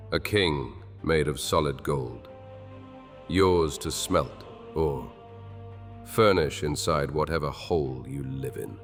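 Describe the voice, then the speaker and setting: An actor playing a grieving, desperate king in a dark fantasy audio drama, speaking in slow, solemn, measured threats. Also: rumbling low, full character voice. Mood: sorrowful. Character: grieving cursed old king, grave and desperate. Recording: reverberant with soft music behind.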